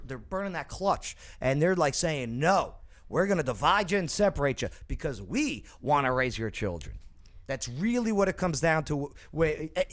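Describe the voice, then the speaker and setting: Homme animateur au ton emphatique et animé. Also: male voice, fairly high pitch. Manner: emphatic, repeats "okay?" and "right?". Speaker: talk show host. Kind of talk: opinionated panel talk.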